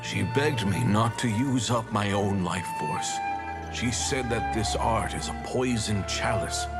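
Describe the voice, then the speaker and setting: Slow, deep, sorrowful master healer. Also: male voice. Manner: solemn, grave, deliberate. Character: sorrowful, dying male master healer entrusting his last question. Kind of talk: scripted video game voice acting. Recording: prominent instrumental music behind the voice.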